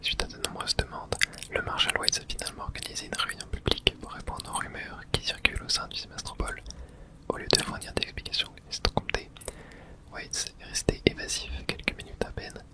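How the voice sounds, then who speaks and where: Someone whispering throughout an ASMR let's play, narrating the game and reading its texts aloud in a hush. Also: very high-pitched, lively intonation, a little fast. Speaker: host playing and narrating a game.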